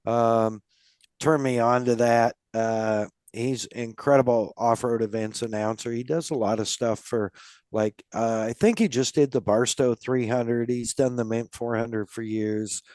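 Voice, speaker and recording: A man's voice: mid-range voice, steady tone, unhurried, darkish tone, no room sound, studio quality. A man hosting a podcast, chatting casually with a guest and talking in a rambling way, with lots of fillers and restarts. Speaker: podcast host. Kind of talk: casual interview chat.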